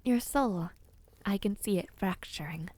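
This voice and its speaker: A woman voicing a kindly, whimsical witch in an audio roleplay, talking to the listener in a gentle, theatrical, chatty way with airy hums. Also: medium pitch, measured pace, no room sound, natural voice. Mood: wistful, tender. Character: kindly, whimsical, ancient witch, flustered caring hostess.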